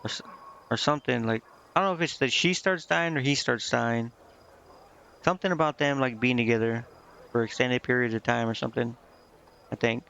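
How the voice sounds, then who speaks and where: Male game streamer, gravelly and hesitant, loose meandering commentary; dull recording with clear background noise. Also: medium pitch, brisk, pauses often, a bit bright. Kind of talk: casual rambling game stream commentary, reading dialogue aloud.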